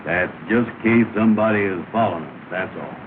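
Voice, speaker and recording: A man's voice: lowish voice, fairly quick, lightly acted. An actor playing a bossy, falsely confident man in an early 1930s comedy film, with folksy grammar and a scratchy old soundtrack. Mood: bossy reassurance. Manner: reassuring, commanding, folksy nonstandard grammar. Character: bossy, falsely confident man leading his scared pal. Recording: scratchy, noisy, narrow-band 1930 film soundtrack.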